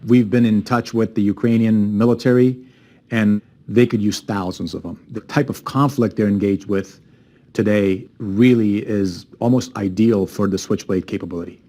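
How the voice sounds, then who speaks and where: Interview subject: Afghan-born male executive, lowish voice, emphatic and personal. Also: fairly even intonation, normal speed, mellow. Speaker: company chief executive. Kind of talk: interview answers.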